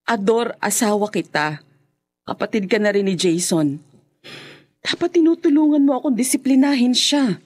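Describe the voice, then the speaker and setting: A woman's voice: on the high side, lively intonation, moderate pace, pauses often, a little mellow. An actress playing a worried married woman who scolds her younger brother, in a radio drama. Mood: arguing. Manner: stern and probing, breaking into distress. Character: worried married woman, strict older sister.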